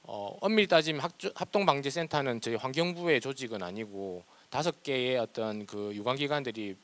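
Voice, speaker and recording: A man's voice: mid-range voice, expressive, fairly quick, fairly bright tone. A man with a South Korean regional accent, presenting formally as a government official in a nervous, hesitant way. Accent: South Korean regional dialect.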